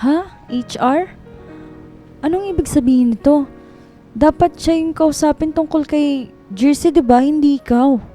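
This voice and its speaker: A woman's voice: high voice, measured pace, pauses often, warm tone. A woman playing a supportive, chatty office friend in a radio drama, casual and concerned. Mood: puzzled, worried. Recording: music behind the voice.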